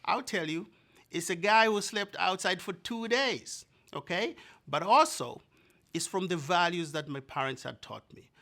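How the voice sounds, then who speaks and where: A man from Zambia telling a personal story to an audience, animated and conversational, often speaking to the listeners directly. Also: high voice, unhurried.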